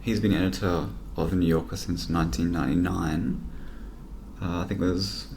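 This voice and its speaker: A man with a very deep voice full of gravelly fry hosts a podcast interview. He asks his questions plainly and murmurs short acknowledgements, with the odd dry joking aside. There is a faint hiss of noise behind him. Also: steady tone, moderate pace, darkish tone.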